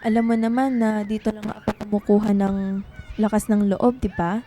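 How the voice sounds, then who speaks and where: A woman's voice: medium pitch, little pitch movement, moderate pace, warm tone, a little hiss or hum, lightly acted. A woman playing a secretive, melancholy young student writer in radio drama dialogue. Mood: pensive, urgent.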